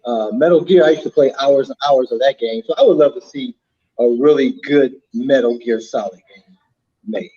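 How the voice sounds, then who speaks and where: A slightly muffled, lo-fi recording of a man with a dark, mellow voice at medium pitch. He talks casually as a panelist on an online gaming show. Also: normal speed, husky. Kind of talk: casual chat. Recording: slightly dull, lo-fi microphone sound.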